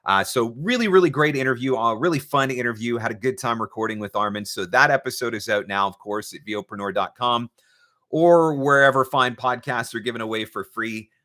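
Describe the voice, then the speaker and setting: A man's voice: mid-range voice, a little fast, pushed and bright. A man hosting a casual, off-the-cuff livestream as a coach, chatting informally with a playful, teasing streak. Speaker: livestream host and voiceover marketing coach. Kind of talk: casual live chat, off the cuff.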